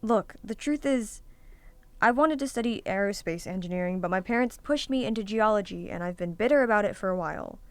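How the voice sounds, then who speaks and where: A woman's voice: medium pitch, animated, brisk, smooth, no room sound, natural voice. A woman acting as a bitter, sarcastic young college student in an amateur audio drama, argumentative and pessimistic. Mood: bitter, apologetic. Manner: sardonic, argumentative.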